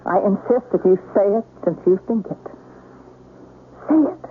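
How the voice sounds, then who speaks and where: A woman playing a forceful, fiercely protective mother and wife in a radio drama, insistent and sharp-tongued. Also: medium pitch, normal speed, close to an everyday voice. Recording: tinny, hissy old radio broadcast.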